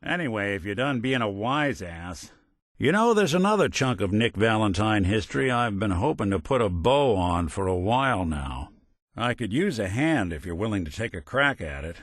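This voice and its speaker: Hardboiled robot gumshoe, American accent, low-pitched, wry, streetwise, world-weary, dry close recording, video game dialogue. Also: male voice, big pitch swings, moderate pace, no echo. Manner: wry, streetwise, old-time gumshoe delivery. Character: world-weary, wisecracking robot private detective, hardboiled and principled. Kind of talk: scripted game dialogue in a hardboiled noir detective manner.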